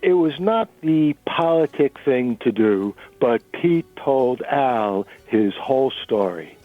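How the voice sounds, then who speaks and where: A man's voice: mid-range voice, expressive, takes it slowly, no echo, phone-quality sound, soft background music. A man narrating a dramatic reading of fiction. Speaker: narrator reading a novel chapter.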